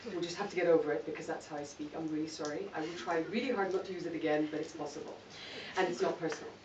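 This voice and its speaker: A woman's voice: sits low, fairly even intonation, very fast. A middle-aged woman from Malawi giving a candid, self-mocking talk to an audience and laughing often. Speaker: guest speaker. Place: event hall. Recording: echoey hall, distant mic, dull sound, noisy.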